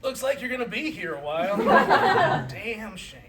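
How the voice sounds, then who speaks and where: A man's voice: extremely high pitch, big pitch swings, unhurried, a loud crowd, heavy background noise, exaggerated voice. A man playing brash football tailgate regulars and hucksters in a live comedy table read. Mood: smug. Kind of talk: live comedy script reading, several bit parts. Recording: distant mic, loud audience.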